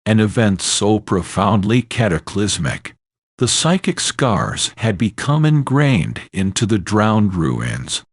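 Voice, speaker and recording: A man's voice: on the low side, big pitch swings, takes it slowly, no echo, clean studio sound. A man narrating a scripted horror story in a dramatic, ominous manner.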